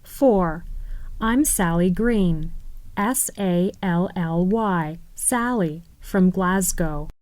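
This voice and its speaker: Woman slowly reading a textbook script. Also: fairly low pitch, darkish tone, no echo, rough recording. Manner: slow, careful, clearly enunciated.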